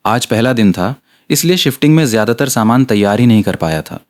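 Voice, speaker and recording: Male audiobook narrator, mid-range pitch, delivering scripted fiction. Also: animated, a little fast, a little mellow.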